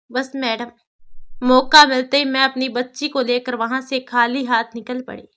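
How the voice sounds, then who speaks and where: Lady doing a sad but determined young mom from a village, cartoon story, slow n earnest, bright voice. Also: high voice, smooth, voice pushed down. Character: sorrowful, determined young village mother, pleading yet dignified.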